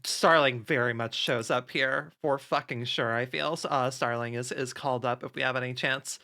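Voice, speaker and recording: A man's voice: medium pitch, fairly even intonation, normal speed, gravelly, pushed and bright. A man chatting casually on stream, thinking aloud in a rambling way and swearing casually. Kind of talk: casual chat while playing a game on stream.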